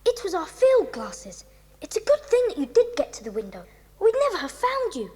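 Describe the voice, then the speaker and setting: A woman's voice: extremely high pitch, huge pitch swings, normal speed, a little mellow, exaggerated voice. A woman playing a polite, capable English schoolboy who takes charge in a children's audio drama. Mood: curious. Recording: long reverberant hall sound.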